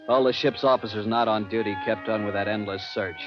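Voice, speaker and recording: Deep-voiced male lead narrator, tense 1950s radio suspense, dull recording with music. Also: steady tone, a little fast. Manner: tense, grave, dramatic. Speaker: first-person narrator and lead.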